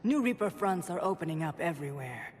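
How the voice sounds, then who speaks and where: A woman's voice: fairly low pitch, very animated, a little fast, slight background noise. A woman playing a composed, cool alien diplomat in a video game, poised and formal. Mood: cool, questioning. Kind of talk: dramatic video game acting. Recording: echoing hall, faint background ambience.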